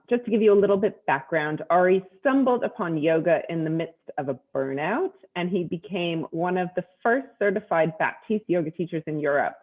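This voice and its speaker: A woman from the United States hosting a podcast interview, asking chatty questions with many fillers. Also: on the low side. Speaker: podcast host. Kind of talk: conversational interview. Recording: tinny phone-like line.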